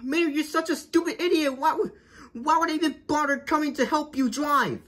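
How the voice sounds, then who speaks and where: A woman's voice: high voice, a little fast, a bit bright. A woman voicing a bossy, impatient male cartoon car who instructs and scolds as a driving teacher. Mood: exasperated.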